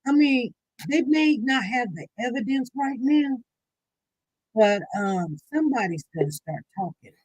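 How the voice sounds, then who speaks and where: A live-stream host chatting casually, talking loudly and speaking straight to the viewers in the chat. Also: mid-range voice, a little slow, low-quality recording. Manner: chatty, addresses the chat directly, loud and animated. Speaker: livestream host.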